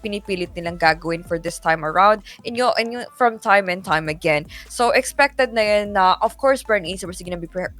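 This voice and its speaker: A woman's voice: mid-range voice, fairly bright tone. A Filipino-accented woman doing pregame esports panel analysis in mixed English and Tagalog, wordy and often rephrasing herself. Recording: music under the voice.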